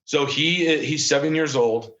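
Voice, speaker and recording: A man's voice: a little high, unhurried, fairly bright tone, highs cut off, slight background noise. A man in his thirties, a podcast guest, talking casually and rambling with lots of fillers and restarts. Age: 30s. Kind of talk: casual chat.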